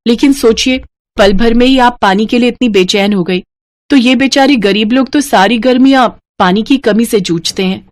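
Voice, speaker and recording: A woman's voice: on the high side, moderate pace, voice pushed up, natural voice. Woman as a kind-hearted, earnest rich daughter.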